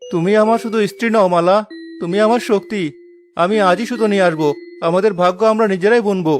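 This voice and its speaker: A man plays a struggling young-hearted fisherman in a cartoon drama. His voice sits high for a man and is full of earnest emotion and determination. Faint music plays behind him. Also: quick pace, close to an everyday voice. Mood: tender, earnest.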